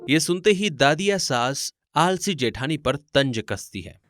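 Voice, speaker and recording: A man's voice: on the high side, very animated, measured pace, a bit bright, dry recording, studio quality, soft background music. A man narrating a scripted family story in a theatrical, rhetorical style.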